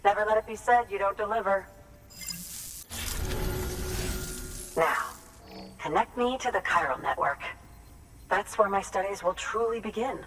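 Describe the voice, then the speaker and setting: An actress playing a composed, scholarly woman biologist in a video game, speaking in an articulate, measured and formal way. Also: medium pitch, a bit bright, a little hiss or hum, close to an everyday voice. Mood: composed, approving. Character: composed female evolutionary biologist, earnest and scholarly. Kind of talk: video game cutscene acting.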